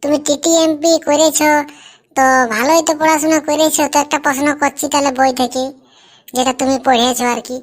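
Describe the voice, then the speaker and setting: A woman's voice: high-pitched, little pitch movement, a little fast, natural voice. A woman voicing a nosy, teasing groom's sidekick in a comedy cartoon, chatty and questioning. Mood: teasing, curious.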